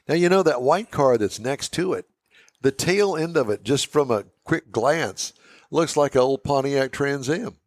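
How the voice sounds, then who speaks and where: A man's voice: medium pitch, lively intonation, unhurried, no echo. A man hosting a car show in casual chat, folksy and curious, readily sounding amazed.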